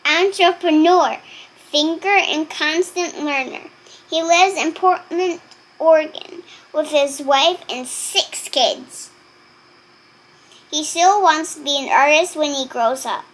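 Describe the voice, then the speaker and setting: A young girl reading aloud and chatting to viewers in a halting, deliberate way. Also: high-pitched, poor audio. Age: child.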